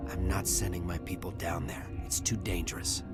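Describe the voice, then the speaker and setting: An actor playing a blunt, weary security captain in a video game, with a folksy, colloquial way of talking. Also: male voice, sits low, steady tone, normal speed, loud music behind the voice, natural voice. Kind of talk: video game dialogue acting.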